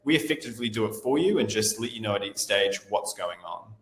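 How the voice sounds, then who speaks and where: Man, low voice, webinar host, hedging, casual delivery, echoey reverberant room. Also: expressive, a little fast, a bit bright. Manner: loose, hedging delivery with repeated "I suppose". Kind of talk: webinar presentation.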